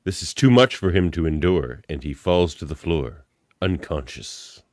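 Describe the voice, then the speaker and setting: A man's voice: low-pitched, big pitch swings, a little slow, noisy background. A man narrating a comic radio play, reading out scene directions as a podcast narrator.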